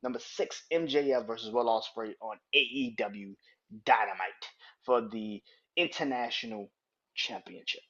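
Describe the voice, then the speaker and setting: A young man runs a casual podcast countdown, swearing freely and breaking into laughter as he gets fired up. His voice is husky and mid-pitched, and the recording sounds dull and lo-fi. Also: unhurried. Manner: profane, excitable, laughs often. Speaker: podcast host. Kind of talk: casual ranking monologue.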